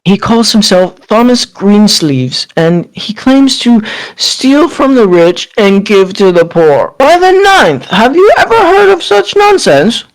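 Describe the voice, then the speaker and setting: A man's voice: sits high, very animated, slow pace, rough recording. A man bantering casually in a party game with friends and reading out character lines.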